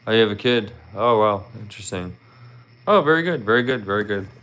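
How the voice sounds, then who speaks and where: Chatty man from Philly, smooth low voice. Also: expressive, brisk, fairly bright tone, dull audio. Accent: Philadelphia. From Philadelphia. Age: 30s. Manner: rambling, friendly, peppered with "you know". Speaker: livestream host. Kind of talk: casual livestream chat with viewers.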